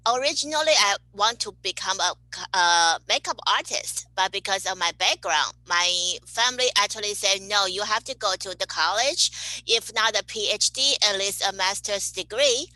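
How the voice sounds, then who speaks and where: A woman's voice: mid-range voice, normal speed, sharp tone, no room sound, rough recording. A woman from Taiwan, a podcast guest answering questions cheerfully. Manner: cheerful, laughs between answers. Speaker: guest, cosmetic chemist.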